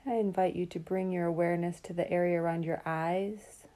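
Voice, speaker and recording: A woman leads a guided relaxation in a low, very soft-edged and mellow voice. Her delivery is calm and soothing, with a very even, level melody. She takes her time and leaves room between phrases. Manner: calm, soothing, unhurried with long pauses. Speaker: meditation guide.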